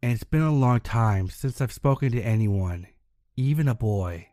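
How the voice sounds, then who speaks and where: A man voicing a thin, sinister male Christmas monster with a hissing, snake-like voice, louder than his narration. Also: medium pitch, expressive, moderate pace, no echo, studio quality, close to an everyday voice. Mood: menacing.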